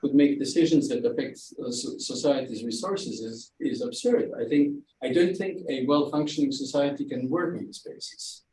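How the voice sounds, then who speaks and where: A man speaking on an academic panel, halting and often repeating his words while he thinks. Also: mid-range voice, lively intonation, warm tone. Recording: echoey room, distant mic, faint hiss.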